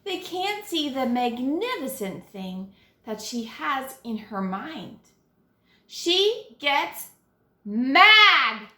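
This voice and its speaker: A woman teacher reading a children's picture book aloud, with dramatic, deliberate phrasing and stressed key words. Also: sits high, big pitch swings, sharp tone, reverberant. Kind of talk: expressive children's read-aloud narration.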